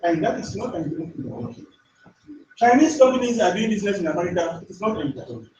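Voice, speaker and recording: A man's voice: high-pitched, darkish tone, muffled recording, a little hiss or hum. An African man, not a native English speaker, asking a pointed conference question from the audience. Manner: emphatic, rhetorical, pointed. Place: university conference room. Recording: echoey lecture hall, far from mic.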